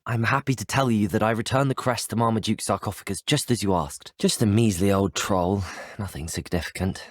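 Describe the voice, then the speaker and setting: An actor playing a polite, earnest teenage student wizard in a video game, with a British accent and courteous, articulate speech. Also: male voice, on the low side, brisk, no room sound, clean studio sound, natural voice. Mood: inquisitive, courteous. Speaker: video game player character. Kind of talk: scripted game dialogue.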